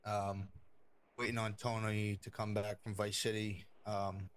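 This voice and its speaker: Man acting as a flat-voiced, offhand mobster. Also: lowish voice, unhurried, a little hiss or hum. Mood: casual, unsure. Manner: offhand, hesitant, short phrases. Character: casual, wary male mob crew leader. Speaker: role-play gamer playing a mobster. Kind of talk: improvised crime role-play dialogue.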